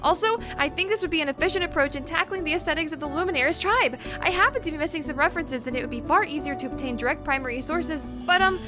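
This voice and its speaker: A woman's voice: high voice, lively intonation, fast, few pauses, over music. A woman playing a nervous, bookish, overworked young researcher in an audio drama, stammering and using wordy, formal vocabulary. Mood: apologetic, rambling.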